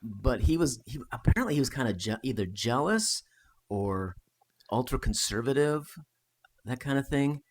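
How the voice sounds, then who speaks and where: A man's voice: medium pitch, lively intonation, moderate pace, noisy background. An American man co-hosting a podcast, chatting casually about history and rambling with fillers and restarts. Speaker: podcast co-host.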